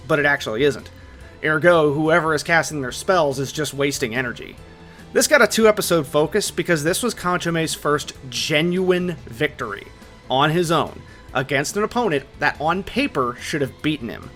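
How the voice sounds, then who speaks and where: A man narrates a scripted video essay in a slightly high voice. He is opinionated and drops conversational asides, with faint music underneath. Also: a bit bright.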